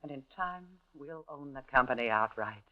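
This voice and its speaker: A woman's voice: very deep voice, lively intonation, moderate pace. An actress playing a cold, scheming American woman in a 1950s radio drama, curt, commanding and composed. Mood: firm, reassuring. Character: cold, domineering, scheming woman partner in crime. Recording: dull, lo-fi 1950s radio recording.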